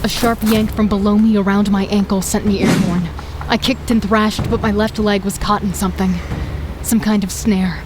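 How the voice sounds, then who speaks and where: A woman's voice: medium pitch, steady tone, normal speed, background noise. Dry, commanding woman narrator. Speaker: narrator and lead character. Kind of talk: first-person audio drama narration. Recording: background music.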